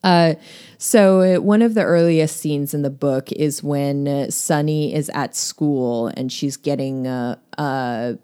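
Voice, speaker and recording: Low-voiced woman chatting about a book. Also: takes it slowly, dark tone, clean tone. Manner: chatty, lots of fillers and laughs. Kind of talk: casual book discussion.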